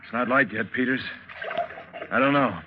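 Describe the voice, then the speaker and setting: An actor playing an earnest, anxious young sailor recounting and living an ordeal at sea in a 1940s radio drama. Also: male voice, medium pitch, normal speed, husky, close to an everyday voice. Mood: pleading. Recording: dull lo-fi 1940s radio recording.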